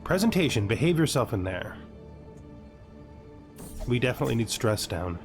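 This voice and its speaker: A man's voice: mid-range voice, big pitch swings, moderate pace. A man narrating a video game playthrough casually, thinking aloud and reading on-screen text as he plays. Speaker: gaming video host, player narrating a playthrough. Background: music under the voice.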